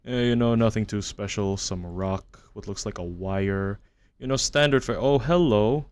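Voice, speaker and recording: A man narrating a horror commentary as a video essayist, measured and matter-of-fact, reading from a script. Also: on the low side. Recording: music under the voice-over. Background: background music.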